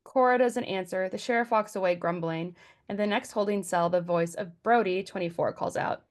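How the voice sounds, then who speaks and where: A woman's voice: mid-range voice, moderate pace. A woman narrating stage directions from a script at a table read.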